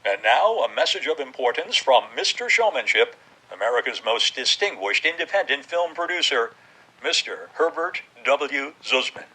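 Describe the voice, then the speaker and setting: A man's voice: mid-range voice, big pitch swings, normal speed, sharp tone, slightly rough, exaggerated voice, poor audio. A man playing an old-time movie-trailer announcer, solemn, urgent and declamatory. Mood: grandly solemn. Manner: portentous, declamatory.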